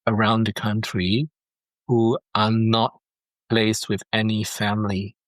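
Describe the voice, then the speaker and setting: Foster dad guest from Singapore, middle-aged, earnest, repeats himself, lower voice, dry call audio. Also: male voice, little pitch movement, slow, dry recording, rough recording. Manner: earnest, repeats words while thinking. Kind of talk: heartfelt interview over a call. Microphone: video call.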